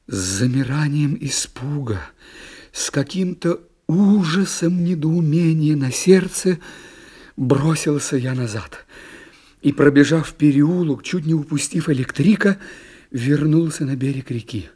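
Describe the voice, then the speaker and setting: A man narrating classic prose in an audiobook, dramatic and expressive, swinging between raised passages and hushed, near-whispered ones. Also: fairly high pitch, unhurried, breathy edge. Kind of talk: classic literary audiobook reading.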